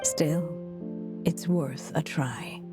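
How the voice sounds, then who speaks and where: A woman voicing a frail, earnest elderly writer in a game, with a solemn, measured and wistful delivery. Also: low-pitched, fairly even intonation. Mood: weary, resolute. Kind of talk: scripted game voice acting. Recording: loud music under the voice.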